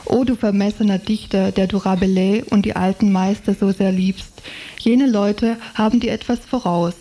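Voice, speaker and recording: Dark, mellow woman reading literature aloud. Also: mid-range voice, fairly even intonation, moderate pace. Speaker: reader of book excerpts.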